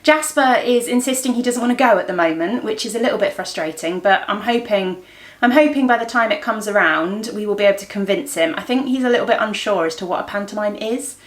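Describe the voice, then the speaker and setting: A middle-aged woman talks as if to friends in a casual daily vlog. Her voice sits at an ordinary pitch, and she wanders informally through her thoughts as she speaks. The sound is roomy, with clear echo from an indoor space at home. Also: quick pace, few pauses. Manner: informal, rambling, thinking aloud. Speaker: vlogger and host of a daily family and craft vlog. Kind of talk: casual chatty vlog to camera. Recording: roomy, echoey indoor room.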